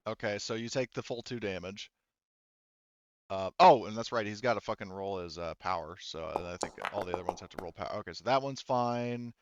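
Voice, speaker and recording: A man acting as game master in a tabletop roleplaying game, improvising narration, thinking aloud haltingly and swearing casually. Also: lowish voice, no room sound, dull audio.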